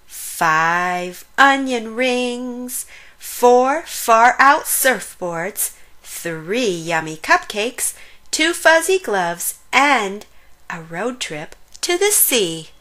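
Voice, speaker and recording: Woman, children's story reader, very slow pace, slightly high pitch, sing-song delivery. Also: big pitch swings, pushed and bright. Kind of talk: animated read-aloud of a rhyming children's picture book.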